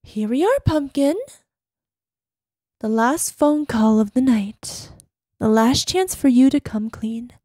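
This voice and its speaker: Woman acting a high-voiced obsessive yandere girlfriend. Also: slow pace, pauses often, dark tone, no room sound. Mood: threatening. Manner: dramatic, drops into breathy whispers, cloying pet names. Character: obsessive yandere girlfriend, sweetly menacing, unstable, easily panicked. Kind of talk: ASMR girlfriend roleplay.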